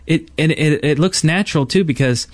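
A man's voice: a little high, moderate pace, no echo. Man explaining games, dry close sound. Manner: explains in detail, piling up clauses. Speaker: podcast co-host. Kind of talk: casual gaming podcast chat.

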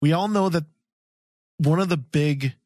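A man's voice: on the high side, a little slow, darkish tone, dry recording, studio quality. A man co-hosting a fan podcast, chatting casually in an earnest, agreeable way. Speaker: podcast co-host.

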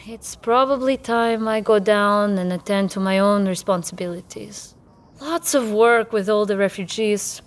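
A mid-pitched young female voice for a friendly villager acted by a woman. She talks conversationally in a fantasy audio drama, easygoing and teasing, sometimes halting as she searches for words. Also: close to an everyday voice.

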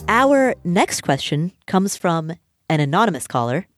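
A woman hosting a podcast, from Nepal, speaking in an earnest, measured way. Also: fairly low pitch, very animated, faint music behind. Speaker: podcast host. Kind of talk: podcast talk and ad read.

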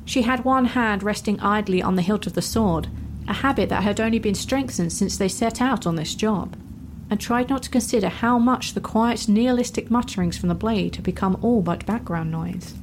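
A woman narrating a fantasy story in a measured, wry storytelling manner. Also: mid-range voice, a little hiss or hum. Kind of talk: dramatic fan fiction narration. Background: music.

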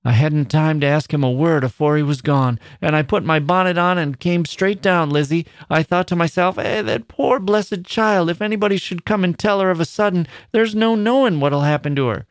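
A man's voice: on the high side, normal speed, hardly any pauses, no room sound, clean studio sound, voice pushed up, natural voice. A man playing a weepy old mother. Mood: lamenting. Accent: English Midlands dialect. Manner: whining, lamenting, repetitive. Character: fretful elderly miner's mother, weepy, rambling.

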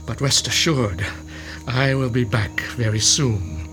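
A man plays a gloating mad villain from a horror radio play. He speaks at a middle pitch with smug, genteel courtesy, over faint music. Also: natural voice. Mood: mock-polite menace. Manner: genteel, gloating, unhurried politeness. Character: smug, mock-polite male mad villain spreading spores. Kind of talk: horror radio drama acting.

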